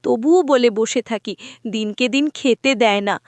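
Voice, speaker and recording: A sweet, dutiful village girl in a cartoon, voiced by a woman, speaks in a fairly high voice. She sounds earnest and put-upon, recorded close and dry. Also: measured pace, no room sound. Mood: sad. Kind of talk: cartoon voice acting.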